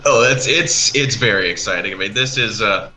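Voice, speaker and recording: A man chatting casually as a guest, halting but enthusiastic as he talks about a creative project. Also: lowish voice, over music, rough recording. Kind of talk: casual chat, commentary over an art video.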